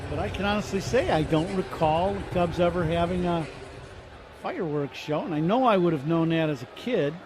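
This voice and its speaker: Man, middle-aged, baseball color analyst, chatty rambling, high-pitched, noisy broadcast. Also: brisk. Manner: chatty, rambling, repeats "I can honestly say". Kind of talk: relaxed broadcast banter.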